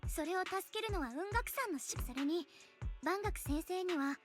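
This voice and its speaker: A woman's voice: very high-pitched, expressive, quick pace. A woman playing a confident, caring, girlish senior disciple in an anime game, self-assured and earnest. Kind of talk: Japanese anime game voice acting. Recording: game dialogue over prominent background music.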